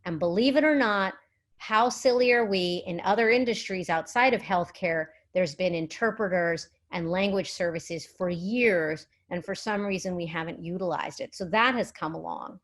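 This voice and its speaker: A woman's voice: on the low side, moderate pace. A middle-aged woman speaking as a physician at a virtual summit, explaining in an articulate, conversational way with emphasis and rhetorical questions.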